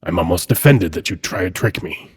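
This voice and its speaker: A man playing a suave, haughty demon prince in a dramatic audio roleplay, speaking in a husky, measured, courtly and condescending manner. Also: low voice, animated. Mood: offended, condescending. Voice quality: husky, breathy. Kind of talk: dramatic ASMR roleplay monologue.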